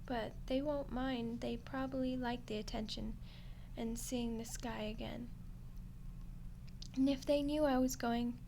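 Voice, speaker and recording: A woman plays an undead skeleton girl with a fairly high voice in an ASMR audio roleplay. She is lonely and friendly, rambling in a casual, awkward way straight to the listener. There is clear background noise around her. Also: fairly even intonation, a little slow. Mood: wistful, sheepish.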